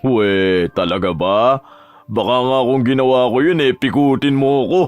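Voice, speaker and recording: A man's voice: mid-range voice, measured pace, no echo, dull audio, natural voice. Guy acting a flirty cocky rich dude in a romance audio drama, teasing sweet talk, mid voice, bit of music under. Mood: amused, teasing.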